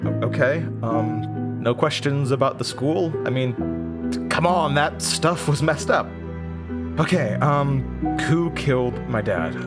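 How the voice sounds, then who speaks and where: An actor playing an anxious, rattled man who haltingly recounts eerie memories, stammering and interrupting himself, in a horror audio drama. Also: medium pitch, animated, loud music behind the voice. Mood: puzzled, wry. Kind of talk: first-person horror audio drama monologue.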